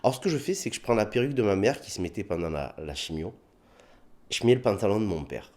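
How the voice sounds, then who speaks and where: A man's voice: on the low side, quick pace. A man with a southern French accent, a comedian telling anecdotes in a casual interview, acting out bits of dialogue. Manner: animated anecdotes with put-on dialogue, many fillers.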